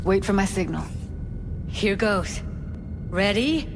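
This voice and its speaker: A woman's voice: mid-range voice, animated, slow, noisy background. An actress playing a tough, protective young woman tech expert in a video game, with a blunt, urgent manner. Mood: focused. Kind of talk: naturalistic video game acting.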